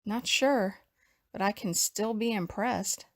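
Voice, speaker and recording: A woman playing a wary, expressive survivor in a dramatized comic. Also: medium pitch, takes it slowly, no echo. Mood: impressed.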